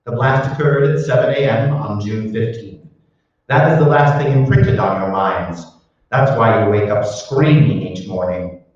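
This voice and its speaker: A man playing a cold, calculating scientist in an old-time radio drama, deliberate, matter-of-fact and gloating. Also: fairly low pitch, close to an everyday voice. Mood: cold, explanatory. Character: cold, calculating male mad scientist, smugly explaining. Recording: echoey hall, distant mic, muffled.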